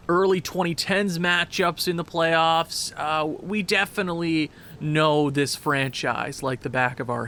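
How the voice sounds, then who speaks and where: A man with a stuffed-up nose talks through a hockey video game in a casual, excitable way, full of quick asides. His voice sits high and has a bright, forceful edge. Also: a little hiss or hum. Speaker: gaming commentator.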